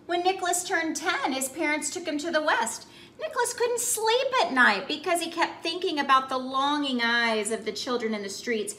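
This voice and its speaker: Very animated, high-pitched woman reading to kids. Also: slight background noise. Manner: expressive, exaggerated, addresses young listeners with questions. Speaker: children's ministry storyteller and host. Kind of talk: animated picture-book read-aloud for children. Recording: roomy indoor echo.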